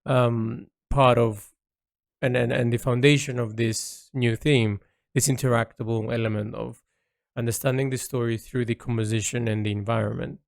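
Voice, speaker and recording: A man recording a reflective, unscripted audio log as an artist, rambling and rephrasing haltingly as he thinks aloud into a close mic. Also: mid-range voice, fairly even intonation, vocal fry, no echo.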